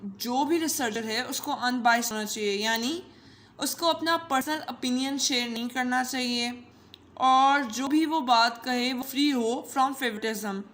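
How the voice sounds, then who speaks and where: A woman's voice: fairly high pitch, fairly bright tone. A woman with a Pakistani accent gives an informal explanatory lecture, playful and conversational, mixing languages, her voice run through a voice changer. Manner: playful, code-switching, conversational. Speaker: teacher and channel host.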